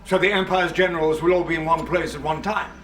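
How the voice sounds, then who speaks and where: Bright, forceful military leader played by an actor. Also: male voice, a little high, fairly even intonation, fast, recorded from a distance, slight background noise, natural voice. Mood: eager, resolute. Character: commanding male allied military leader, brisk and self-righteous. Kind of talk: video game cutscene acting.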